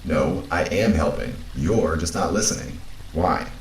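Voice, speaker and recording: A man playing a calm, frank companion who reasons in a measured, blunt way, as in an audio drama. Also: rumbling low, recorded from a distance. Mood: blunt, insistent. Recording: roomy, off-mic, faint background noise.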